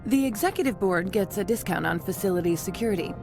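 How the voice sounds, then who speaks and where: A woman's voice: mid-range voice, animated, quick pace, a little mellow, faint music behind, natural voice. A woman playing an efficient corporate receptionist in a video game, polite and informative. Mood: polite, professional.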